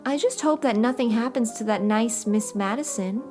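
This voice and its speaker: A woman's voice: fairly high pitch, normal speed, over music, lightly acted. A woman playing a tipsy, bitter, ditzy gangster's moll in an old-time radio comedy. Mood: boozy.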